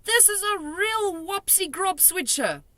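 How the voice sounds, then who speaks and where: A woman voicing a gentle, excitable old male giant who mangles his grammar and invents words. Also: high-pitched, normal speed, no echo, pitched above the performer's own voice. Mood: fierce. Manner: jumbled grammar, made-up words.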